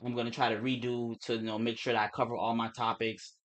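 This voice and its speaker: Man, Turks and Caicos accent, young adult, mumbly fast talk, casual livestream host, mid pitch. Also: level tone, fairly bright tone. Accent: Turks and Caicos Creole-English mix. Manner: fast rambling speech with restarts and swearing.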